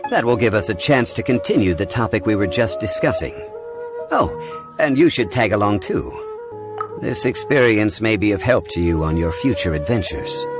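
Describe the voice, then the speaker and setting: A man playing a calm, learned consultant in a video game, speaking in a measured, formal, scholarly way. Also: low voice. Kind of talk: scripted video game dialogue. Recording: music under the voice.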